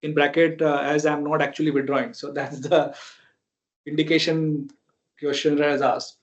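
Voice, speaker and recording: A man's voice: fairly high pitch, level tone, normal speed. A man with an Indian accent moderating a conference call and reading questions aloud. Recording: dull, lo-fi conference call audio.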